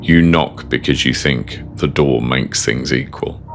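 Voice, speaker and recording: A British man plays a middle-aged retired cartel enforcer in a horror story, calm and full of menace. The voice is extremely low and gravelly, unhurried and coldly controlled. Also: faint music behind. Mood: cold contempt. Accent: British English.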